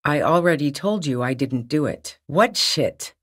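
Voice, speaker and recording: A woman's voice: low voice, lively intonation, a little slow, breathy edge, heavily acted. An actress playing a tough, wary, sardonic woman on the run in a video game, speaking bluntly. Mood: defensive, firm. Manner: blunt, sardonic, foul-mouthed. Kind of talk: video game character dialogue.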